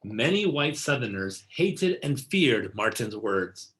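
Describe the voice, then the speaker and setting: A man reads a picture book aloud for children in a medium-pitched voice. His delivery is deliberate and scripted, with key words given clear emphasis. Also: very animated, fairly bright tone, a little hiss or hum, low-quality recording. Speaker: read-aloud narrator.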